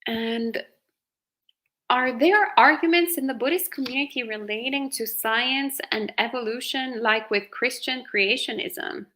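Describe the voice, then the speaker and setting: A woman with an Italian accent hosting a casual live question-and-answer chat, talkative and quick to laugh. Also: on the high side, sharp tone. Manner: chatty, laughs often, fillers like "you know". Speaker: host reading viewer questions.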